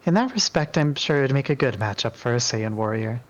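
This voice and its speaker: A man voicing a polite, gently wry female deity, playful and mildly exasperated. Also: medium pitch, lively intonation, brisk, dull audio, lightly acted.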